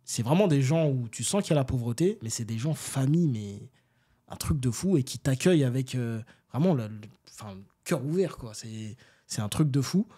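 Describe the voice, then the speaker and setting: A man from France, an interview guest telling stories in casual, animated, slangy talk and often seeking agreement. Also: mid-range voice, moderate pace, breathy edge. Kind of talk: casual conversational storytelling.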